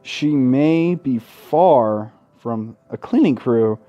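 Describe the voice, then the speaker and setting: A man's voice: medium pitch, expressive, slow, no room sound, muffled recording, soft background music. A man reading a visual novel aloud as a let's-play host, with casual, profane asides. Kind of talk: reading game text aloud with casual commentary.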